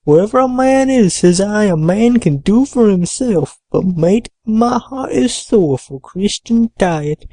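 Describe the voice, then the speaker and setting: A woman voicing a ragged, half-crazed male castaway with a hoarse, awkward, drawling voice, reading an audiobook. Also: mid-range voice, animated, a little slow, hardly any pauses, mellow, no echo. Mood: rambling. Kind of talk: audiobook character voice.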